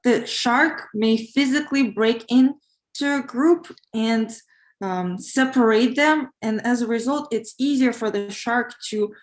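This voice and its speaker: A woman's voice: a little high, roomy sound. A woman from Ukraine, a pharmacist preparing for an English exam, speaking carefully with hesitant fillers. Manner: careful, measured, with hesitant fillers while answering. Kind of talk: practice exam answer and casual chat on a livestream.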